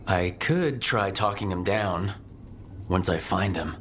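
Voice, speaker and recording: A man playing a resolute adventurer and leader in a fantasy video game, speaking in a measured, earnest way. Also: sits low, fairly bright tone, a little hiss or hum. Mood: calm, earnest. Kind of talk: acted game dialogue. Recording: game audio with clear background ambience.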